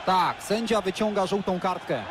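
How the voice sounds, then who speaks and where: Excitable man calling football play-by-play. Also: high voice, normal speed, noisy background. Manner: excitable, erupts on goals. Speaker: play-by-play commentator. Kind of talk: scripted football video game commentary. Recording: loud stadium crowd behind.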